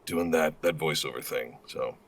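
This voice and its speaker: A man's voice: rumbling low, normal speed, fairly bright tone. An American man from Indiana, a voice actor chatting casually as a guest in a self-deprecating, slightly hesitant way. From Indiana, United States. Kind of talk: casual chat.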